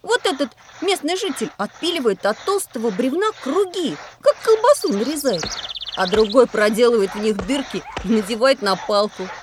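Actress as high, animated wondering child. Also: female voice, moderate pace, lightly acted. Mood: puzzled, curious. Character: observant, wondering child pupil on a trip. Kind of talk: educational audio drama. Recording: clearly noisy, birdsong behind. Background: birdsong, outdoor sounds.

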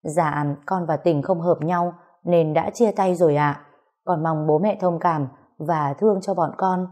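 A polite young adult son pleads respectfully with his parents in an audio storybook, as a woman narrator voices him. The voice stays low and warm throughout. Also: fairly even intonation, measured pace. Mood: pleading.

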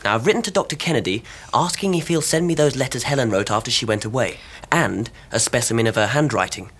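An actor playing a practical, eager young English husband in a radio drama, with a husky voice and a brisk manner. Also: male voice, medium pitch, lively intonation, a bit bright.

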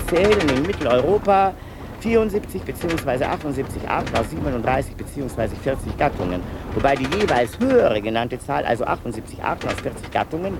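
A man's voice: mid-range voice, noisy background, lightly acted. An actor playing a pedantic male asylum inmate in a radio play, talking in a meticulous, lecturing way. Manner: meticulous, lecturing, precise and detailed. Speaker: patient narrating his own thoughts.

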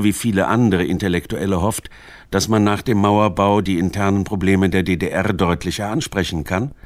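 Low-voiced male documentary narrator. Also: dry recording. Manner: measured, formal, articulate. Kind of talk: scripted radio documentary narration.

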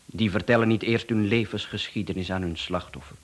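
A man's voice: on the low side, steady tone, brisk, natural voice. A man with a Flemish accent playing a smug, chatty professional hitman in a radio drama, speaking with mocking politeness and self-assurance. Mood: mocking, self-assured.

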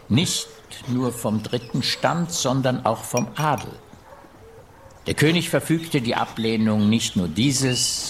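An actor in a radio play voicing an aggrieved French royal minister reciting a letter in grave, measured, emphatic phrases. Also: male voice, medium pitch, a little hiss or hum. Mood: grave, alarmed. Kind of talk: radio drama, letter read aloud.

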